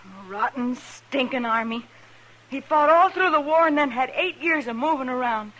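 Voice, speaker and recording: A woman's voice: sits high, animated, normal speed, fairly bright tone, rough recording. An American actress playing a defiant young frontier woman in a 1950s radio Western, blunt and bitter with rustic speech, on an old recording. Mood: angry, defiant.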